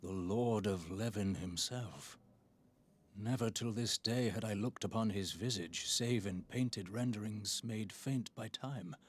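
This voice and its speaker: An actor playing an earnest, courteous scholar with formal, archaic and measured phrasing in a fantasy game. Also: male voice, on the low side, husky. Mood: awed.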